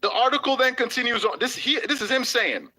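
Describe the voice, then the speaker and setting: A man's voice: extremely high pitch, fairly quick, sharp tone. A man reading a news article and statement aloud, breaking off for sarcastic rhetorical questions.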